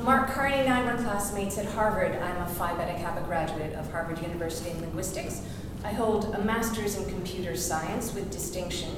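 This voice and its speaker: A French American actress and voiceover artist giving a punchy, rhetorical, self-mocking speech at a forum. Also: female voice, mid-range voice. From France and the United States. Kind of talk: public speech. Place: large event hall. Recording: echoey hall from far off, noisy.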